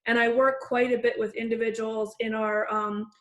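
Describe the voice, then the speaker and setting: A woman's voice: fairly high pitch, flat delivery, moderate pace. Jersey-accented woman on an echoey call. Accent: New Jersey. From New Jersey. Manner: earnest, repeats words while searching. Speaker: facilitator, HR professional. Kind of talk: video call discussion.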